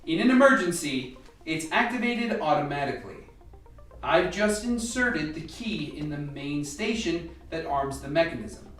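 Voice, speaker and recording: Man acting a measured scientist in an echoey room. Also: a little high, animated, a bit bright. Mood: grave, explanatory. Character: calm, instructive male scientist leading a secret lab team. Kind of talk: amateur radio play acting. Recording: echoey room, distant mic, faint music.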